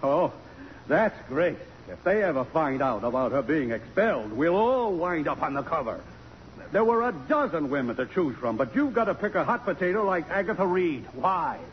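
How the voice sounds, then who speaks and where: A fretful college board chairman, high-voiced and blustery, in a 1950s radio play. The old recording is muffled and reverberant. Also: very animated, moderate pace, a little hiss or hum. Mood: indignant, exasperated. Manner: agitated, blustery. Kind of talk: 1950s radio drama acting.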